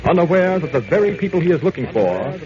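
A man's voice: fairly high pitch, big pitch swings, fast talker, a crowd in the background. A man narrating a radio serial in dramatic, scripted 1940s style. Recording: muffled old radio broadcast with background sound.

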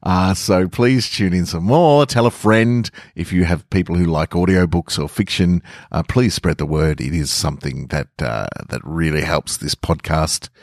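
A man's voice: deep voice, normal speed, few pauses, no echo, clean studio sound. A man hosting a podcast, speaking earnestly and gratefully to listeners in a sincere sign-off. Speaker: podcast host and story reader.

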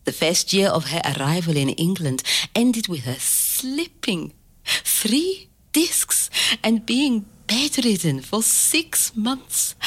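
A woman playing a loving, firm grandmother who tells a bedtime story in a measured, tender, expressive way. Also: medium pitch, husky, exaggerated voice. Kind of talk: scripted dramatic story narration.

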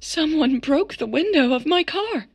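A woman's voice: high-pitched, animated, measured pace, no room sound, voice pushed up. A woman voicing a frightened, breathless and upset woman on the phone in an audio drama. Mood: panicky. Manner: breathless, panicky, tearful. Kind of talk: audio drama character dialogue.

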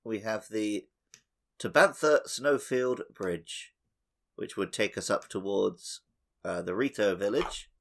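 A man's voice: on the low side, takes it slowly, creaky, a bit bright. A man co-hosting a gaming podcast, bantering casually in a wry, joking manner. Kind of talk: casual banter about a video game.

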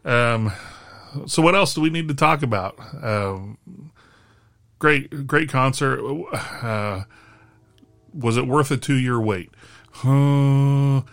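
A man co-hosting a casual podcast chat, his voice raspy and hoarse from screaming at a concert, rambling with lots of fillers. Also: mid-range voice, slow pace, pauses often, a bit bright, no echo. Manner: rambling, filler-heavy delivery. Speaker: podcast co-host.

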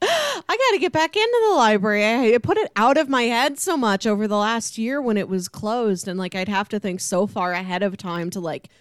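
A woman's voice: mid-range voice, animated, fairly quick, clean tone, dry recording, studio quality. A woman hosting a podcast, chatting casually and informally in a musing way about books. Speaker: podcast host. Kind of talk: casual book discussion chat.

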